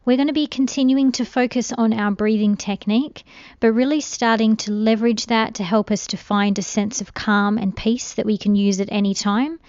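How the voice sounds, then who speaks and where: A woman guiding a meditation in a gentle, soothing, unhurried way. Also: a little high, steady tone, smooth, no echo, muffled recording. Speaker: meditation guide. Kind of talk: guided meditation.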